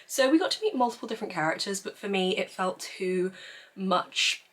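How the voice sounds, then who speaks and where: A young woman with a hoarse, croaky voice from losing it, chatting casually through a book review. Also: mid-range voice, animated, normal speed. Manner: chatty, self-deprecating, laughs often. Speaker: book vlogger.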